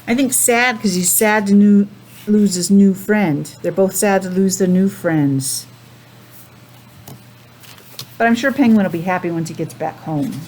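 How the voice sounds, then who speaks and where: A woman's voice: medium pitch, normal speed, slight background noise. A woman teacher reading a picture book aloud to young children, with chatty asides and simple questions. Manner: animated, addressing small children with simple questions.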